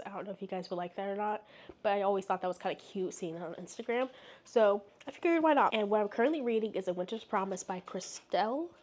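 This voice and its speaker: Young woman chatting, a bit congested. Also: medium pitch. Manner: rambling, many fillers and restarts. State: congested from a cold. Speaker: book vlogger. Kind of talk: casual chatty vlog.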